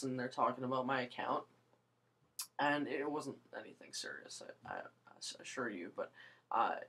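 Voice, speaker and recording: A young woman with a low voice, rambling hesitantly through a casual video monologue with many fillers and restarts. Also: fairly bright tone. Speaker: vlogger.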